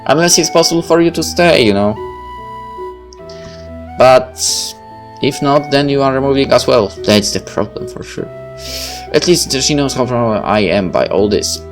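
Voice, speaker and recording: A man reading a story game aloud and commenting on it as he plays. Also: mid-range voice. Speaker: gamer reading a visual novel aloud and commenting. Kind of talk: dramatic read-aloud of first-person fiction with casual asides.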